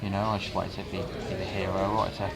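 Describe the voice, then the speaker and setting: A British man with a British accent giving casual, understated and halting interview answers as a wrestler. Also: deep voice, little pitch movement, vocal fry, over loud music. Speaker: professional wrestler being interviewed.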